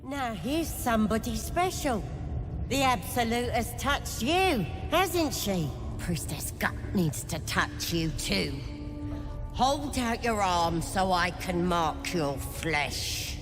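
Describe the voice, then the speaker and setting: A zealous goblin priestess for a video game, speaks slowly in long reverb over music. She is coarse and bossy, coaxing with folksy slang like a cult leader sure of her power. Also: medium pitch, big pitch swings. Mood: sly, commanding. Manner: coarse, colloquial, wheedling and bossy. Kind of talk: video game character acting.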